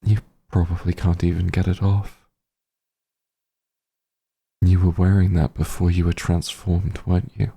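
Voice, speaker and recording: A man playing a bitter, grieving werewolf hunter in an audio roleplay, gravelly and husky, speaking with halting, menacing intensity. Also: sits low, little pitch movement, mellow, full character voice. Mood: stunned. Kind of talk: dramatic audio roleplay monologue.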